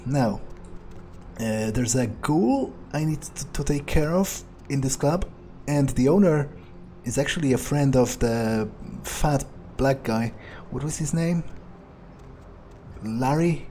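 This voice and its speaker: A man playing a cynical, arrogant vampire in a game, musing haltingly to himself with plenty of swearing. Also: mid-range voice, lively intonation, mellow, a little hiss or hum. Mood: calculating. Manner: musing, halting, foul-mouthed. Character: cynical, arrogant, cold-blooded male vampire hitman. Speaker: gamer playing in character. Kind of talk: in-character game playthrough monologue.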